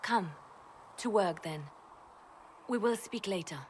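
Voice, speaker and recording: An actress playing a devout, guarded spymaster woman in a game cutscene, bitter and intense, speaking deliberately. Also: medium pitch, a little mellow, a little hiss or hum, close to an everyday voice. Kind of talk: dramatic game cutscene acting.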